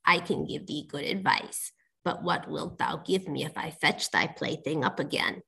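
A solemn storybook frog, as played by a woman, speaking low and softly. He bargains and pleads in formal, archaic phrases, with a plaintive air. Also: creaky, husky, full character voice. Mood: solemn, soothing. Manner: measured, formal old-fashioned phrasing. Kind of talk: storybook character voice, archaic speech.

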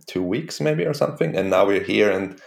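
Swiss guy, super deep gravelly voice, talks fast and eager, echoey room. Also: lively intonation. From Switzerland. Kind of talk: casual chat.

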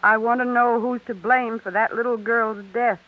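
A woman's voice: a little high, steady tone, moderate pace, natural voice. An actress playing an earnest, anxious woman in a theatrical, impassioned 1930s radio drama style. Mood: pleading, determined. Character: earnest, anxious young expectant wife, also a grieving mother. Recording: dull lo-fi vintage radio recording.

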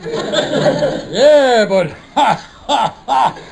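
An actor playing a sheepish, scheming married lodge leader in a 1950s radio sitcom, hesitant and evasive with folksy, ungrammatical speech. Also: male voice, very high voice, lively intonation, drawn-out words, gravelly, a little mellow, a faint crowd, exaggerated voice. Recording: noisy 1950s radio broadcast recording.

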